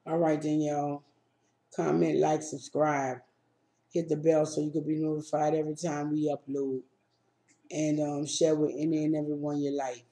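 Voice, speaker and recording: A woman hosts her own vlog, telling a story in a laid-back, plainspoken way. Her voice is mellow and dark-toned. The room around her is echoey. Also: deep voice, flat delivery, normal speed. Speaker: vlog host. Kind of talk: casual storytime chat while eating.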